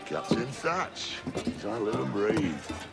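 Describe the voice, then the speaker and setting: An actor playing a crude, mocking pirate captain in a video game, with a sneering, theatrical delivery. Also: male voice, fairly low pitch, very animated, slow, creaky, full character voice. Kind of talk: cinematic video game acting. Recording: loud music over the voice.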